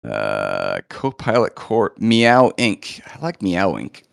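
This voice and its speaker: Low-voiced casual man, slightly hoarse. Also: huge pitch swings, slow pace, dry recording, clean studio sound. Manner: casual, chatty, lots of fillers. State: a bit hoarse, recovering from illness. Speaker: presenter of a live coding demo. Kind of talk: live screen-share walkthrough.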